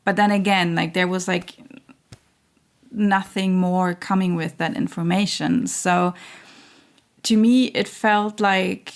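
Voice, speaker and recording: A woman hosting her own spiritual channel, talking in a slow, searching way with many fillers and restarts while losing her voice. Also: on the low side, fairly even intonation. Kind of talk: reflective spiritual monologue.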